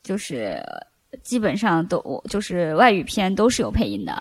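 A woman hosting a casual podcast conversation, explaining things in a measured, hedging way. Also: lowish voice, big pitch swings, no room sound. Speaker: podcast host.

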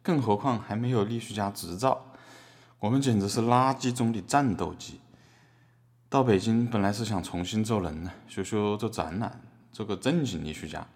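A man's voice: lowish voice, moderate pace, darkish tone, lightly acted. A man playing a forlorn, self-mocking artist, confessing with irony in a satirical podcast courtroom drama. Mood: mock self-pity. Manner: self-deprecating, ironic. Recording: reverberant room.

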